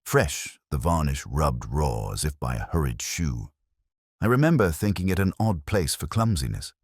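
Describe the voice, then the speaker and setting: A man voicing an ageing, melancholy detective, narrating a literary radio drama in a measured, reflective, solemn manner. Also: extremely low pitch, a little mellow, husky, no echo, studio quality, full character voice. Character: ageing, melancholy male detective, wry and brooding.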